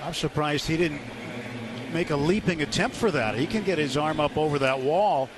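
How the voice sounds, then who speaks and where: A man's voice: on the high side, moderate pace. A man giving conversational live color commentary on a baseball radio broadcast from a stadium booth. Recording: noisy outdoor stadium ambience.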